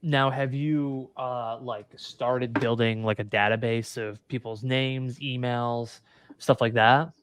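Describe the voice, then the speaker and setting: A man in his thirties hosting a casual podcast interview, relaxed and quick to laugh, with plenty of filler words. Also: mid-range voice, clean tone. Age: 30s. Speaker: podcast host.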